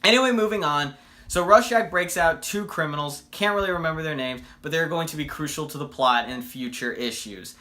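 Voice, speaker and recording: A man's voice: a little high, fairly quick, bright, forceful tone. A man giving a casual, unscripted fan review as a video host, enthusiastic and conversational, often posing questions to the viewer.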